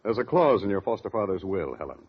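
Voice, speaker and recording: An actor playing a patient, persistent family lawyer who reasons calmly in a 1940s radio drama. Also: male voice, fairly low pitch, fast talker, husky. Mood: patient, insistent. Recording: dull vintage radio recording.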